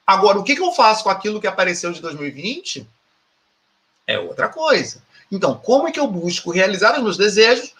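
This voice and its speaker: A male professor speaks in a high-pitched, bright voice. He lectures informally and emphatically, posing rhetorical questions and punctuating his points with little tag checks. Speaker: university professor and research group coordinator. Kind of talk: informal academic talk to teachers.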